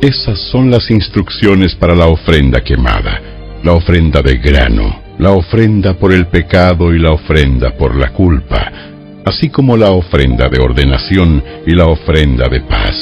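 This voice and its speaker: Very deep, gravelly male Bible narrator. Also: big pitch swings, a little mellow, highs cut off, faint music behind. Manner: solemn, measured storytelling. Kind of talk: scripted dramatized Bible narration.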